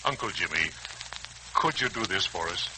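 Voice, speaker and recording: A man's voice: low-pitched, lively intonation, moderate pace. An actor playing a middle-aged American husband and father, fretful and blustering, in a 1940s radio serial. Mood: worried. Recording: noisy 1940s radio recording.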